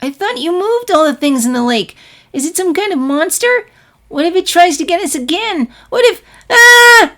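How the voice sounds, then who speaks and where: A woman voicing a young unicorn filly in a story, excitable, earnest and quick to raise her voice. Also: extremely high pitch, voice pushed up, full character voice. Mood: alarmed. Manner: shouting, indignant, earnest.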